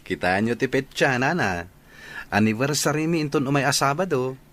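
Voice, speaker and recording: An actor playing a polite, eager young suitor in a radio drama, with an Ilocano accent. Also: male voice, mid-range voice, moderate pace, fairly bright tone, lightly acted. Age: young adult.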